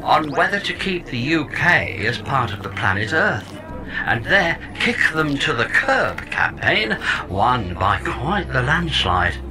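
A man's voice: mid-range voice, sing-song, slow, noisy background. A man playing a chatty, smug robot pod sidekick in a comedy audio drama, explaining things with wry, wordy theatrical flourishes. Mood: gleeful explaining.

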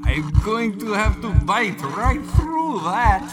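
A woman's voice: medium pitch, big pitch swings, slow, loud music behind the voice. A woman playing a tiny, gluttonous newborn nanobot ant in a comic sci-fi audio drama, savouring words melodramatically. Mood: hungry, gluttonous.

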